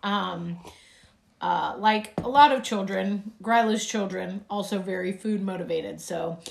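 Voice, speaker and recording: A woman's voice: medium pitch, measured pace, vocal fry. A woman co-hosting a podcast, chatting casually and joking while reading aloud from a book. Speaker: podcast co-host. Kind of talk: casual chat. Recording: echoey room.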